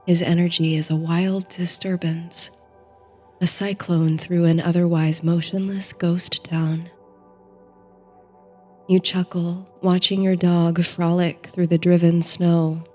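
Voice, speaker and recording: A woman narrates a sleep story like a guided meditation, in a soft, soothing, unhurried voice that turns breathy at the ends of phrases. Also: lowish voice. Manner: slow, soothing, unhurried delivery. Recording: soft music under the voice. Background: soft music.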